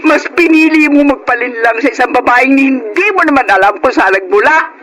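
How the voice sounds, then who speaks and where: A woman's voice: high-pitched, fairly quick, music in the background, lightly acted. A woman with a Filipino accent acting a long-suffering, betrayed wife in an emotional Tagalog radio drama. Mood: anguished, accusing. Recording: noisy radio drama recording.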